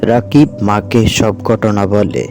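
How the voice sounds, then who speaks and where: A man's voice: on the low side, measured pace. A man giving scripted narration for a cartoon story. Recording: music behind the voice.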